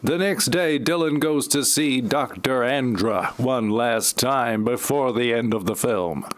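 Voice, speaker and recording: A man reading scripted narration for a parody audio play in a deadpan manner, with wry asides. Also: mid-range voice, measured pace.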